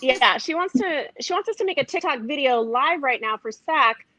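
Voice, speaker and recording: A woman's voice: high-pitched, expressive, normal speed, sharp tone, slight background noise, poor audio. A woman from a comedy troupe in a casual video chat, chatty and self-mocking.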